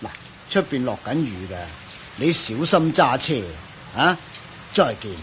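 An actor with a Hong Kong Cantonese accent playing a crafty, falsely genial older professor in a radio drama, sighing and condescending. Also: male voice, medium pitch, lively intonation, unhurried, fairly bright tone, a little hiss or hum. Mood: mock genial.

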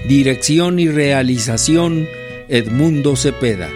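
Male Mexican announcer, medium pitch, formal credits read over clear string music. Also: takes it slowly. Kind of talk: formal scripted radio credits.

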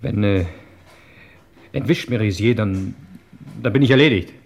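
An actor playing a wary criminal turned informant, measured, polite and a little hesitant, in an old German crime radio play. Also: male voice, fairly low pitch, vocal fry, darkish tone. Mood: grave, worried.

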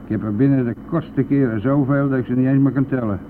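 An actor playing a boastful, brash and talkative bus driver in a radio play, with a blustery, colloquial manner. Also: male voice, mid-range voice, steady tone, quick pace, lightly acted. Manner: blustery, colloquial, mildly swearing. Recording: narrow phone-like old radio recording, faint noise.

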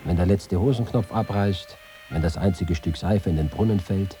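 A man's voice: sits low, moderate pace, mellow, a little hiss or hum, lightly acted. An actor playing a reporter who reads his war notes and letters in a dramatic literary style. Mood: grave, observant.

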